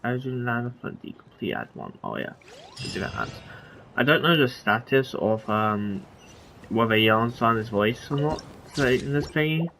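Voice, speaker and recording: A man giving casual, rambling, halting commentary on the game he is playing. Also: mid-range voice, very animated, creaky, fairly bright tone, a little hiss or hum, low-quality recording. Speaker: gamer commentating on his play. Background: game music.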